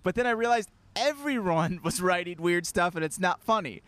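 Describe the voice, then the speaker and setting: A man's voice: high-pitched, expressive, moderate pace, fairly bright tone, no echo. A young man co-hosting a podcast, playful and jokey, chatting casually and swooping his voice up for effect. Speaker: podcast co-host. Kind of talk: casual banter. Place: outdoors.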